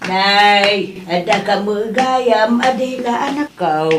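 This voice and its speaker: A woman playing a long-suffering, scolding Filipino mother of grown children in an audio drama, with drawn-out exasperated exclamations. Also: medium pitch, background noise, close to an everyday voice. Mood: soft, pleading. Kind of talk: dramatised audio story acting.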